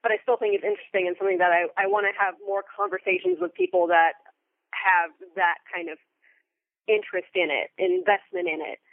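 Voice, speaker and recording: Phone-like narrow sound, dry, American woman in her thirties, thoughtful interview guest, articulate phrasing, deliberate emphasis. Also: medium pitch, big pitch swings, gravelly, no room sound. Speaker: interview guest, theater company member and Foley artist. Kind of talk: conversational interview. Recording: thin, phone-like remote line.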